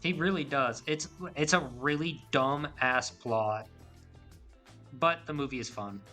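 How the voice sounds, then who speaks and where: Bright excitable young man chatting. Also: mid-range voice, unhurried, faint music behind. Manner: excitable, rambling with many restarts. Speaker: podcast co-host. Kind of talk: casual chat.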